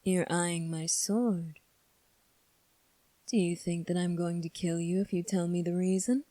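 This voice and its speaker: A woman voicing a low, mellow, sly young prince. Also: steady tone, no echo, close to an everyday voice. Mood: coolly probing. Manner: measured, formal, coolly probing. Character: calm, sly, teasing young male prince, mildly sadistic. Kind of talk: ASMR roleplay audio drama.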